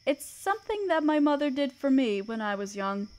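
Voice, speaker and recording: High-voiced motherly spider queen, played by a woman. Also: big pitch swings. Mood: soothing, tender. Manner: soft, soothing, deliberate storytelling. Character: gentle motherly spider queen, older, sorrowful, regal, protective. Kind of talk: comforting roleplay audio drama.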